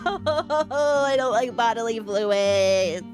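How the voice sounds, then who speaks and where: A woman's voice: a little high, animated, extremely slow. A woman livestreamer rambling casually to her viewers in a playful, goofy way, full of slang and silly exclamations. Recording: music playing behind the voice.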